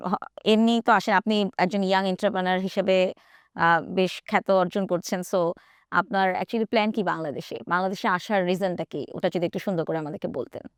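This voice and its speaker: A woman hosts the interview, switching between two languages mid-sentence. She talks very fast in a clean, smooth voice. The sound is dry and close. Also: on the low side, dry recording. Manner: mixes two languages, quick questions. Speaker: show host. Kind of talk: interview hosting.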